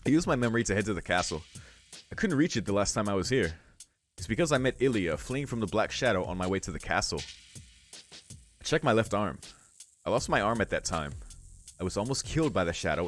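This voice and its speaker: A man's voice: sits low, a bit bright. A man hosting a let's play, reading a visual novel aloud and adding informal, musing asides.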